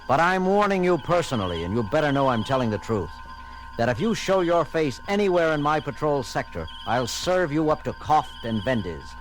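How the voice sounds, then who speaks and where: Commanding starship captain, music behind. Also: male voice, on the high side, sing-song, normal speed, poor audio. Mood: stern warning. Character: commanding male starship captain, firm, dryly wry. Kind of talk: science-fiction audio drama acting. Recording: loud music bed under dialogue.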